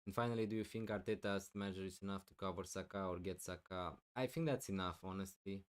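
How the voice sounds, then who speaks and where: Man, live streamer, low voice, smooth and clear, loud with lots of fillers, voice wearing thin. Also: fairly even intonation, fast. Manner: loud, thinking aloud with many fillers. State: losing his voice, clearing throat. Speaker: fantasy football streamer. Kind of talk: live stream answering chat questions.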